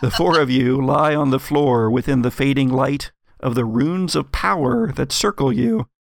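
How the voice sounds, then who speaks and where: A man's voice: mid-range voice, gravelly, no room sound, clean studio sound. A man narrating an improvised gothic tale as game master of an actual-play podcast.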